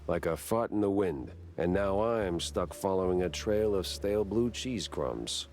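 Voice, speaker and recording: An actor playing a wry, weary mouse private detective with a sardonic deadpan, in a noir cartoon game. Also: male voice, deep voice, unhurried, a little hiss or hum. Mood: wry, cool. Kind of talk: 1930s film noir cartoon game acting.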